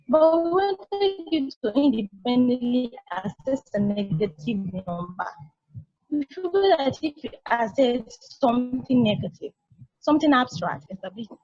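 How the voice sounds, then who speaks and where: Knowing younger-sister machine, female reader; high, slightly rough voice, casual wry explaining, dull dry recording. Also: darkish tone, no room sound. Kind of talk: amateur table read of a stage play.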